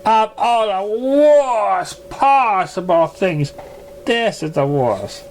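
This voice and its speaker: Genteel female pony dressmaker for a cartoon fan story, played by a man: raised pitch, affected, theatrical, drawn-out delivery. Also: lively intonation, a little hiss or hum, full character voice. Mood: melodramatic.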